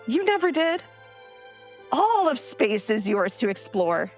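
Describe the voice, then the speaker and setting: A woman playing an excitable, earnest geeky heroine in a live sci-fi comedy drama, speaking emphatically. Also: on the high side, takes it slowly, faint music behind. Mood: earnest pleading. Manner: expressive, emphatic, pleading.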